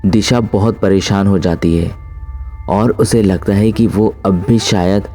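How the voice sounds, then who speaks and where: A man's voice: lowish voice, steady tone, measured pace, mellow, faint music behind. A man narrating a scripted horror story.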